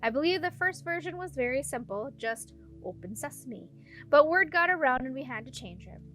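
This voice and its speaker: A calm, protective she-dragon, as a woman reader puts her on, speaking in a high female voice. She is matter-of-fact and instructive, reassuring as a guide, with faint music behind. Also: normal speed. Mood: apologetic.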